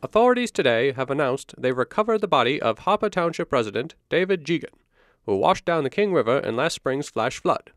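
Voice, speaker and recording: Radio play news anchor, male actor: sober, formal, matter-of-fact, slightly high male register. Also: very animated, brisk, a bit bright, no echo, studio quality.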